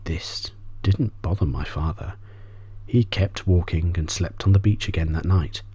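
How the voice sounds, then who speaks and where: A man reads an audiobook as an ASMR bedtime story, soft-spoken and often trailing off into a whisper. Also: sits low, a little slow, husky, dry recording. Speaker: audiobook reader.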